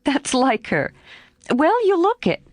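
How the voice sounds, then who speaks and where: A woman reading aloud and voicing an impulsive, excitable young woman, with exclaiming, high and animated lines. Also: slow, dry recording, clean studio sound, exaggerated voice. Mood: teasing.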